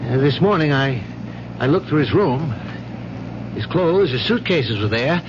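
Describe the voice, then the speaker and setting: Courteous doctor on noisy old radio. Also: male voice, fairly high pitch, lively intonation, unhurried. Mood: worried. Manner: courteous, hesitant, gently probing. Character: concerned, polite male doctor from a research lab. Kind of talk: 1970s radio drama dialogue. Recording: muffled, noisy 1970s radio recording.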